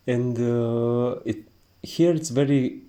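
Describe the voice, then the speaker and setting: A man with a thick Greek accent teaching painting, talking gently through his steps with many hesitant fillers. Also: medium pitch, fairly even intonation, slow. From Greece. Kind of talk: relaxed narrated painting tutorial.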